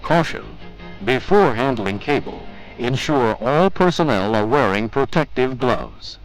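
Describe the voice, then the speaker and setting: Man, mid-pitched voice, formal scripted instructional narration, methodical delivery, faint music, 1980s training film soundtrack. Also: lively intonation, measured pace, poor audio.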